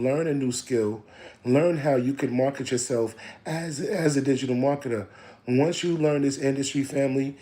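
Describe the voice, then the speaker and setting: Man, a bit hoarse, mellow low tone, repetitive motivational coach. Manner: preachy, repeats lines, calls listeners "family". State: slightly hoarse. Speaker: digital marketing coach. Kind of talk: casual motivational live stream.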